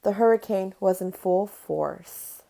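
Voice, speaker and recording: A woman's voice: medium pitch, takes it slowly, creaky, dark tone. Woman reading a children's story aloud. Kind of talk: read-aloud storytelling from a picture book.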